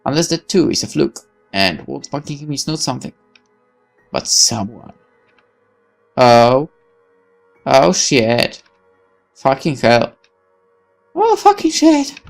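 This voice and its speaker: Male gamer reading visual novel narration: theatrical, very lively pitch swings, gravelly fry, faint music behind. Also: slow pace, long pauses. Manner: theatrical, animated, swearing. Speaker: gaming video host reading narration aloud. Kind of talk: dramatic expressive read-aloud of game narration with asides.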